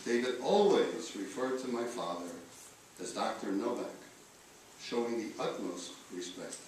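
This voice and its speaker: A man reading a eulogy aloud in a solemn style, with measured, deliberate phrasing and pauses. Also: on the low side, expressive, a little mellow. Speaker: eulogist reading letters at a memorial. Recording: echoey room, distant mic, faint noise.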